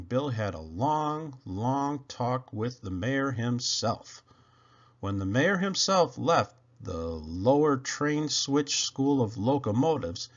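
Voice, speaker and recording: Expressive, slow-paced male storybook reader. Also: medium pitch, highs cut off. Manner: expressive, dramatic emphasis with drawn-out words. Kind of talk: children's read-aloud narration.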